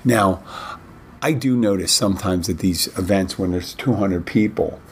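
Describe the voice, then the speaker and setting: A middle-aged man hosting his bartending podcast in a casual, rambling way, his voice a little rough from a cold he is getting over. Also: low-pitched, slight background noise. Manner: rambling, halting, many restarts. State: recovering from a head cold, slightly rough voice. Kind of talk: casual solo podcast talk.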